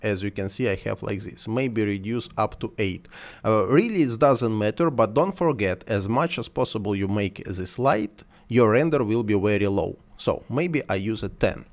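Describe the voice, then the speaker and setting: A man whose first language is Russian or Ukrainian, talking through a screen-recorded software tutorial while he works, in simple, halting English. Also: lowish voice, animated. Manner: thinks aloud while working, simple non-native phrasing.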